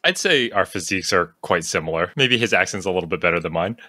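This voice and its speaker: A man's voice: low voice, lively intonation, fast talker, sharp tone, no room sound. A man from Long Island with a matching accent, hosting a podcast in playful, joking casual chat. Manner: joking, playful, laughs often. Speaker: podcast host.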